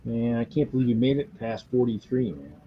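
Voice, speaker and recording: A man's voice: medium pitch, lively intonation, a little fast, slight background noise. A man in his fifties, chatting casually on a stream panel in a rambling, joking way. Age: 50s. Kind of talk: casual chat. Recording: dull lo-fi audio.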